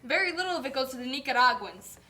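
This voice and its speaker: A struggling, indignant mother acted by a woman speaks out in a puppet show. Her voice is high and bright, with an outspoken manner. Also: expressive, fast talker. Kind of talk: scripted puppet show dialogue.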